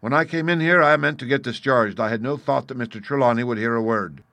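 A man voicing a blunt, stern ship's captain in an audiobook, clipped and forceful in his plain speaking. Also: medium pitch, fast talker, dry recording, pitched above the performer's own voice. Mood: earnest, forceful. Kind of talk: audiobook character voice.

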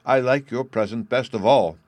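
A man voicing a sweet, gentle young girl who speaks softly and politely. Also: mid-range voice, normal speed, no echo, voice pushed up, lightly acted. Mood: tender. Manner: soft and polite.

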